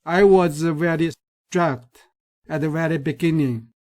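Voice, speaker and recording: Chinese-accented male researcher, lecture voice-over, unhurried and careful, slightly raised pitch. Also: darkish tone. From China. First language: Mandarin Chinese. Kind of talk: recorded lecture.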